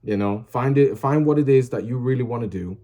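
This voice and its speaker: A man's voice: medium pitch, a little fast, warm tone. A young man who makes music videos talks to his viewers in a casual, rambling way, full of fillers and restarts, earnestly urging them to post what they love. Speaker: small music channel creator. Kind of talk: casual motivational vlog talk.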